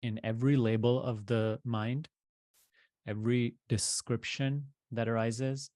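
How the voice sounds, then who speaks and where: A man teaching meditation, leading a guided practice in deliberate short phrases with pauses between them. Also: medium pitch, flat delivery, darkish tone, dry recording.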